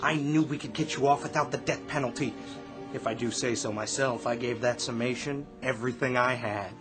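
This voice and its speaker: An actor playing an earnest, exasperated defense lawyer in a radio drama. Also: male voice, medium pitch, fairly quick, lightly acted. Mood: relieved, self-satisfied. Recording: music under the voice.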